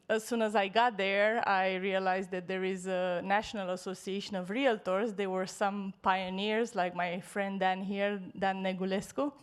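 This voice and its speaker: Smooth-voiced woman panelist. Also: medium pitch. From Romania. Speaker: panelist, real estate professional. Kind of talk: self-introduction on a panel. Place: conference stage before an audience. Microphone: handheld microphone.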